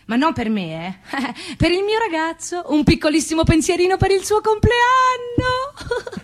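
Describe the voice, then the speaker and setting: A giddy, blithely candid girlfriend from a stage comedy, acted by a woman. Her voice is extremely high, and she confides in a giggly, girlish way. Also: sing-song, a little slow, exaggerated voice. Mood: giddy, coy.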